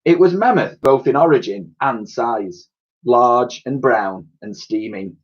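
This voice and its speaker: A man reading a children's story aloud in an animated, expressive storytelling style. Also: mid-range voice, unhurried, husky, dull audio.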